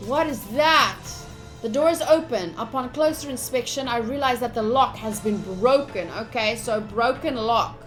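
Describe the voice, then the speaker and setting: A woman with a high voice plays through a visual novel on a live stream. She reads the text aloud with some drama, then slips into chatty asides to her viewers. Soft music plays underneath. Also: normal speed. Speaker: game streamer. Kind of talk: live visual novel playthrough, reading aloud and commenting to chat.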